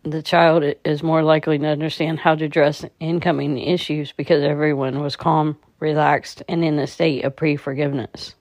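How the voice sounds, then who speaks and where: A woman leading a guided meditation in a calm, measured, soothing manner. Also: deep voice, no echo.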